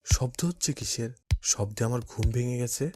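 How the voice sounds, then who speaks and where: A man playing a calm patient cartoon father. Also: mid-range voice, normal speed, background noise, natural voice. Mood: tired. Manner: calm, gently instructive. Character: kind patient father, middle-aged man.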